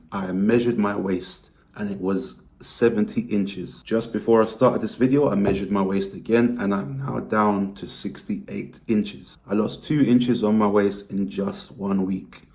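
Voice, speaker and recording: A man with a hoarse, worn-out voice, talking candidly to camera in a casual vlog and explaining things. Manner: candid, explanatory, lively pitch rises. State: hoarse, lost voice. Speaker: vlogger.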